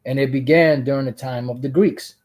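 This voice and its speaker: A man with a soft, dark-toned voice talks through scripture passages as a channel host. He rambles, circling back with asides and restarting his sentences as he reads out verses. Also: mid-range voice, steady tone, a little fast. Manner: rambling, full of "you know" asides and restarts. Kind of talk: casual religious commentary reading scripture.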